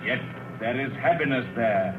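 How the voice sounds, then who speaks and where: An actor playing a hearty giant spirit in a 1930s radio drama, booming and commanding. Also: male voice, animated, normal speed, natural voice. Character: hearty giant spirit, Ghost of Christmas Present. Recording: dull 1930s radio broadcast with hiss.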